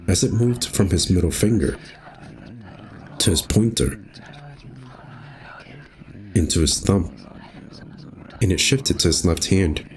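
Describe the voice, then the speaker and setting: Very deep-voiced man, game narrator. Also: a little mellow, slight background noise. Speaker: gaming video host and narrator. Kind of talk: reading visual novel narration aloud, with casual commentary.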